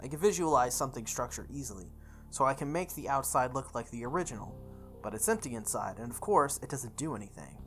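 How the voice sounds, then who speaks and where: Reader narrating a visual novel over music. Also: female voice, very deep voice, lively intonation, fast. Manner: stumbles and re-reads lines. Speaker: game playthrough host. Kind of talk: reading visual novel text aloud. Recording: music behind the voice.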